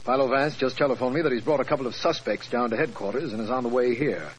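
Actor as a fast-talking radio lawman. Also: mid-range voice, slight background noise, close to an everyday voice. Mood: dry, official. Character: brisk, earnest lawman and detective's colleague. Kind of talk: 1940s radio acting. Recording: reverberant old radio recording.

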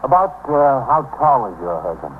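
An actor playing a polite, low-key police detective in a 1950s radio drama. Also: male voice, sing-song, moderate pace, natural voice. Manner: low-key, terse questioning. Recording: tinny, very noisy 1950s radio recording.

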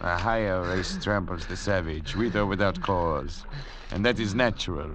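A man playing an arrogant, intellectual doctor cast away at sea in old radio drama style, lecturing theatrically in a gravelly voice. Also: deep voice, normal speed, crowd noise, lightly acted. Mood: cold, measured. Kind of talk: 1940s radio drama acting. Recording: old radio recording with faint hiss.

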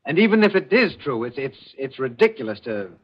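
A man's voice: medium pitch, sing-song, a little fast, close to an everyday voice. Actor as insistent young man, muffled old radio. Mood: indignant. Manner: insistent, challenging. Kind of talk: 1940s radio drama acting. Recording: muffled, hissy vintage radio broadcast.

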